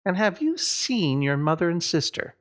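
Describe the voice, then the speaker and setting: A man's voice: fairly high pitch, sing-song, moderate pace, pitched above the performer's own voice. Man as storybook father, practical, offhand, musing, swooping intonation.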